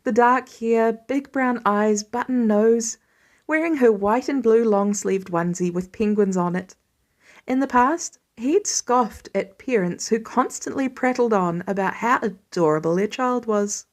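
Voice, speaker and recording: A woman reading fan fiction aloud as an expressive audiobook-style narrator. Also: on the high side, measured pace. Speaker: podfic reader.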